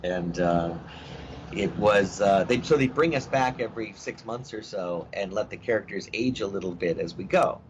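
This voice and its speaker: A middle-aged actor talking in a casual interview, rambling with many fillers and restarts. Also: male voice, on the low side, moderate pace. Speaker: actor guest. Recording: dull lo-fi audio with faint hiss.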